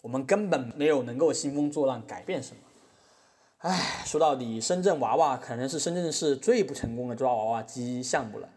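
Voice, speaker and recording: A man's voice: mid-range voice, brisk, a little mellow, close to an everyday voice. A man playing a wry, mock-apologetic artist who defends himself in a satirical courtroom podcast drama, telling his story with irony. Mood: mock apology. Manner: ironic, mock-humble, storytelling.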